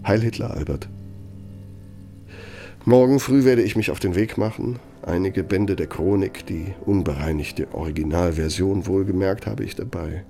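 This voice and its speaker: An actor playing a pompous, bitter older former Nazi functionary dictating in a German radio play, sardonic and domineering. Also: male voice, low voice, moderate pace, warm tone, faint music behind. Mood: sardonic, reminiscing.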